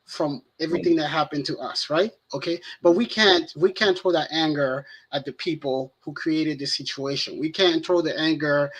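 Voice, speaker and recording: A man's voice: fairly high pitch, normal speed, few pauses, a bit bright, husky, poor audio. A man with a French accent, co-hosting a casual discussion and talking in an easygoing way, often asking "right?". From France. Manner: conversational, frequent "right?" and "you know" tags. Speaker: podcast co-host.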